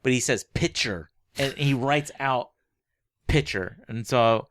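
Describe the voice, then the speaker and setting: A man co-hosting a podcast, chatting casually about books in a rambling, halting way that often trails off mid-phrase. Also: mid-range voice, fairly bright tone, a little hiss or hum. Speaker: podcast co-host. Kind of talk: casual book-club chat.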